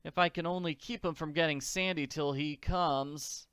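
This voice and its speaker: A man's voice: a little high, steady tone, moderate pace, a bit bright, clear, resonant tone, no echo, clean studio sound, natural voice. A man voicing a plucky little orphan girl from a comic strip, with slangy, exclamatory talk. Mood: worried.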